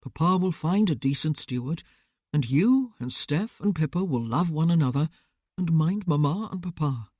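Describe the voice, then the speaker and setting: A narrator voicing a steady, weary young man who speaks in a calm, measured way in an audiobook. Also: medium pitch, no echo, clean studio sound, close to an everyday voice. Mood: somber.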